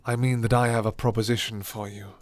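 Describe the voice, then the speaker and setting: A man voicing a suave, commanding vampire lord in an ASMR roleplay, with formal, breathy, half-whispered menace. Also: on the low side, little pitch movement, quick pace, dry recording, close to an everyday voice. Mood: silky, intrigued.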